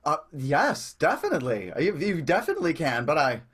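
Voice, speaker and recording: Excitable bumbling promoter, husky, swooping pitch, rambling sales talk, comic radio play. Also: male voice, a little fast, full character voice. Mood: eager, pitching. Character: eager, bumbling male vaudeville-era entrepreneur pitching schemes.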